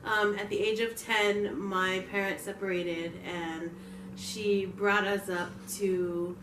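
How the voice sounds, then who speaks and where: A woman from Hawaii, a community leader, speaking reflectively and a little haltingly in an interview. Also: on the low side, fairly bright tone, a little hiss or hum. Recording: echoey room, mic at distance.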